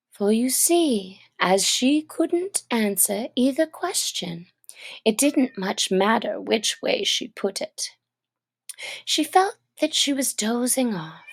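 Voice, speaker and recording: Lady reading a kids' story out loud, slow and gentle, kinda high voice. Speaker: children's story reader. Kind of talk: read-aloud storybook narration.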